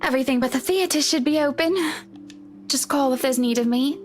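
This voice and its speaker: A woman's voice: high voice, a little fast, lightly acted. An actress playing a polite married lady of the house in a visual novel game. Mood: polite, distant. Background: game music.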